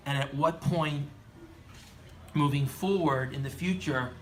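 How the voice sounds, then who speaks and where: A man conducting an earnest live interview, asking wordy, deliberate questions. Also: fairly high pitch. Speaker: interviewer, aviation enthusiast. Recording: faint background noise, live event.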